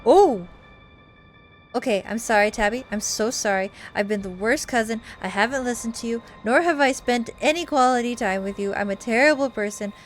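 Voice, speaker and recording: A woman's voice: a little high, normal speed, faint music behind, natural voice. A woman voicing a friendly, eager, apologetic young woman in a visual novel game, chummy and over-earnest. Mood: pleading.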